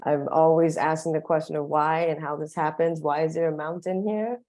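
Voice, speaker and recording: Low-voiced reflective woman panelist. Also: steady tone, normal speed, a little mellow. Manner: reflective, curious. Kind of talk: panel talk.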